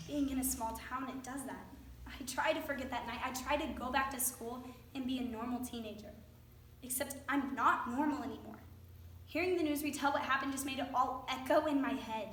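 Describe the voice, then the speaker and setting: A woman's voice: high voice, a bit bright. A young woman playing a traumatized, guilt-laden teenage girl in a staged monologue. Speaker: student performer in readers theater. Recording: distant echoey stage recording, faint noise.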